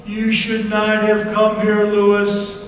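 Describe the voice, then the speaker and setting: A solemn male ghost, speaking extremely slowly in a high, intoning voice. The words are drawn out and foreboding, as in vintage radio horror. Immense echo surrounds the voice. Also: completely flat delivery. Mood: ominous. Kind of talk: old-time radio horror acting. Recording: cavernous echo, distant, hissy.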